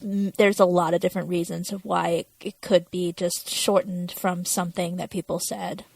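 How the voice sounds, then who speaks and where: A woman's voice: lowish voice, flat delivery, normal speed, vocal fry, a little mellow, no room sound. A woman co-hosting a podcast, explaining things in a casual, understated and somewhat tentative way. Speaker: podcast co-host.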